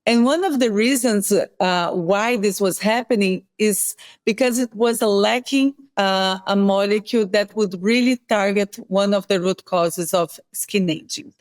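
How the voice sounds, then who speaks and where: A woman with a Brazilian accent explains things in a measured, explanatory way, pausing to correct herself. Her voice sits in a middle pitch, close and dry on the mic, with no room sound. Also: a little mellow, no room sound. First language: Portuguese. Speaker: guest scientist. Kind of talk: interview explanation.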